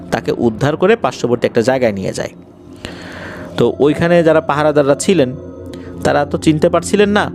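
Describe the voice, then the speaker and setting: A Bangladeshi man narrates ghost stories as a show host in a slightly high voice. His pitch rises and falls widely, and he stretches words and pauses for suspense. Faint music plays beneath him. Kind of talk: dramatic horror storytelling addressed to listeners.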